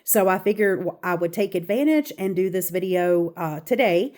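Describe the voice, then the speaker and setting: A woman's voice: on the low side, normal speed, darkish tone. A woman with a Southern American accent, congested and stuffy-nosed, chatting casually as she walks through her craft room for her vlog. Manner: chatty, with frequent ums and asides. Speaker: craft channel host. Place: home craft room, walking around.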